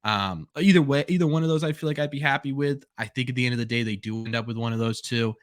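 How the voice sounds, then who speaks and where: A man's voice: medium pitch, fast talker, dry recording, clean studio sound. A man guesting on a sports podcast, speculating thoughtfully about his team's options. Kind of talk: casual sports analysis.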